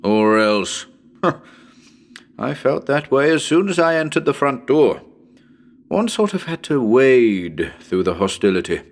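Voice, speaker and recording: Man playing a slow, wryly uneasy radio broadcaster. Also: mid-range voice, sing-song. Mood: wry unease. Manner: conversational, wry, hesitant. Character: chatty male radio broadcaster in haunted house, wryly uneasy. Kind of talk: dramatic reading of a radio commentary.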